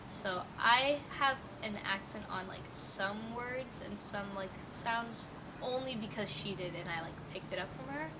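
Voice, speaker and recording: Young american woman talking loosely, lots of "like", mic kinda far, loud traffic behind. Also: mid-range voice, measured pace, few pauses. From United States. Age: young adult. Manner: rambling, heavy use of "like" fillers. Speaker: interviewee. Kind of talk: casual interview answers.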